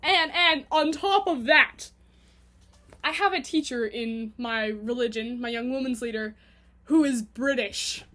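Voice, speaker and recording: A young woman with a Canadian accent rambles to her camera at home, chatty and self-mocking. Her voice sits high, and quick giggly asides break up her talk. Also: pushed and bright. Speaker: vlogger. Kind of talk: rambling casual monologue to camera.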